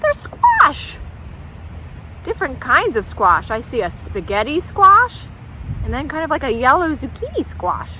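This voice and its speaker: A woman telling a folktale at a children's storytime, pausing to ask young listeners questions. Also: sits high, wildly swooping pitch, pushed and bright, a little hiss or hum, low-quality recording.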